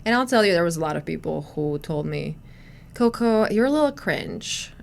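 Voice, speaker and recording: A woman's voice: lowish voice, lively intonation, moderate pace, vocal fry, a little hiss or hum. A woman in her thirties from Croatia, speaking English, chatting casually as a podcast guest with lots of fillers and restarts. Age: 30s. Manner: chatty, frequent restarts and "you know" fillers. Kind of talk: casual conversational storytelling.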